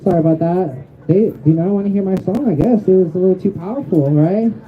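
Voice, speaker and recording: A man leading a rally crowd in call-and-response chants, loose and joking between shouted calls. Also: high-pitched, fairly quick, narrow, tinny audio. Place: outdoor rally. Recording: loud crowd outdoors.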